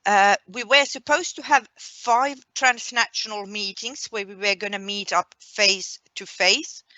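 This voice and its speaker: A woman presenting a webinar as its host and coordinator, speaking in a deliberate, explanatory way. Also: medium pitch, bright, forceful tone, no room sound, poor audio. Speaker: project coordinator hosting a webinar.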